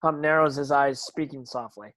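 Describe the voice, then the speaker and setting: A man narrates stage directions from a script in a medium-pitched voice. He reads as an amateur, sometimes stumbling and going back over a word. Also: steady tone, normal speed, fairly bright tone. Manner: stumbling over words, rereading.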